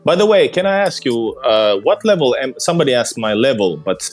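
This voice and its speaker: A man's voice: medium pitch, big pitch swings, brisk, faint music behind. A man from Finland, a travel vlogger talking casually and hesitantly, with many fillers and restarts. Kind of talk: casual conversation.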